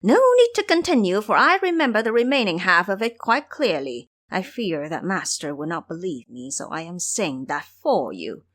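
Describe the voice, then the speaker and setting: A woman voicing a mischievous, sharp-tongued male monkey disciple in an audio drama, taunting and bossy. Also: lowish voice, sing-song, moderate pace, hardly any pauses. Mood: teasing. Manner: taunting, scolding.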